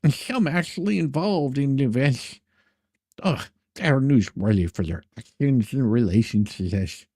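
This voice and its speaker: A man's voice: mid-range voice, animated, a little slow, warm tone, husky, no echo, studio quality. A man hosting an informal livestream, chatting and reading letters aloud with a sarcastic edge. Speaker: streamer, host of his own channel. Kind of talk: casual livestream chat and dramatic reading of letters.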